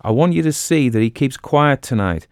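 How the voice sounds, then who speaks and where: A man's voice: mid-range voice, animated, moderate pace, a little mellow, no room sound, clean studio sound, pitched above the performer's own voice, natural voice. A man voicing a clever, pompous con man in an audiobook, breezy and bossy. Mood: bossy complaining. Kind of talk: audiobook character voice.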